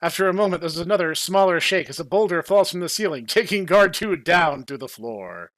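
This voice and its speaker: A man narrates a game story playfully as a podcast host. His pitch is a little high and very lively, with a bright tone and a dry, close sound. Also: fairly quick, no echo.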